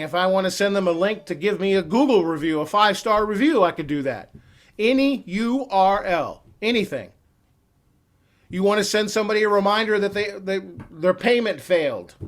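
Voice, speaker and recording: A man in his forties giving an upbeat, salesy screen-share walkthrough as a software trainer on a computer call. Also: high voice.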